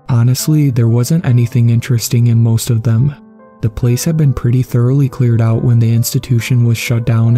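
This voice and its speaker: A man reading a scripted first-person horror story as a narrator, in a measured, clearly enunciated way, with music behind him. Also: mid-range voice, dark tone. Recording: background music under the voice.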